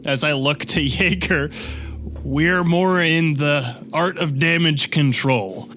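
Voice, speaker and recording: A man playing a tabletop game, improvising dialogue in character in a measured, diplomatic way. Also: on the high side, sharp tone, a little hiss or hum. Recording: music bed under the voice.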